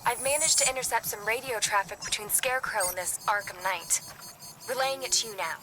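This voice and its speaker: A woman playing a capable, wry young hacker ally in a video game, talking in a brisk, matter-of-fact way. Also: a little high, heavy background noise, natural voice. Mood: helpful, wry. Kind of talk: video game cutscene acting.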